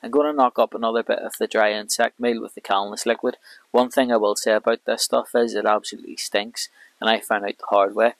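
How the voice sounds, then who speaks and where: A man in his thirties, a fishing vlogger, calmly explaining each step of his rig while working outdoors by a lake with a clip-on mic. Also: on the low side, quick pace, no echo. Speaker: carp angler vlogger. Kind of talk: casual how-to commentary.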